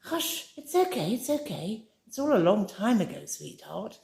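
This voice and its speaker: Woman doing a gentle, soothing motherly woman. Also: mid-range voice, big pitch swings, normal speed, gravelly, pitched above the performer's own voice. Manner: soothing, hushing. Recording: roomy echoey room.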